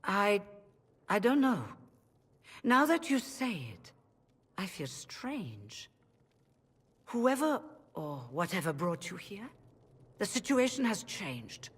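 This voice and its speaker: An actress playing a dignified, weary leader of rebel mages in a video game, speaking formally and with a troubled air. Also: female voice, medium pitch, big pitch swings, takes it slowly, pauses often, slightly rough, full character voice. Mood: formal, uneasy. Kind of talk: video game cutscene acting. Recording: reverberant hall sound.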